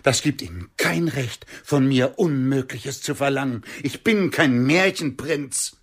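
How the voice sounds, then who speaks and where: A man's voice: mid-range voice, animated, unhurried, slightly rough. An actor playing a bitter, cutting homeless man in a radio play, speaking in a blunt, theatrical and insulting way. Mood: defensive, scornful.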